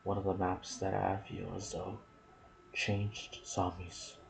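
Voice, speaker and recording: A man giving soft-spoken ASMR commentary on a video game, slipping into whispers and pausing between short phrases. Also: deep voice, completely flat delivery, gravelly, crowd noise. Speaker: gaming channel host.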